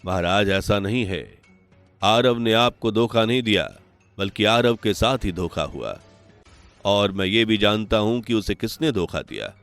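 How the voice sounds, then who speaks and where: Man acting solemn, low-voiced old holy man. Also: lively intonation, measured pace, a bit bright, smooth, soft background music. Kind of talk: animated moral story voice acting.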